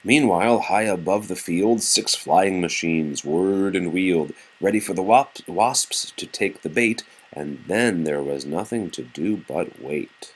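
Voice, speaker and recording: Deep, husky man reading a picture book. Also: measured pace, few pauses, poor audio. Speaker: read-aloud storyteller to young children. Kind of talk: children's picture book read-aloud with casual asides.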